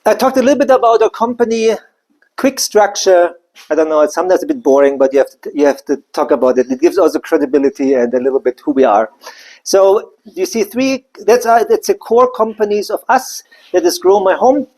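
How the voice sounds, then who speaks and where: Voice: medium pitch, a little fast, darkish tone. A speaker from Germany, giving a live business presentation in a loose, conversational way with many side remarks. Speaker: company presenter.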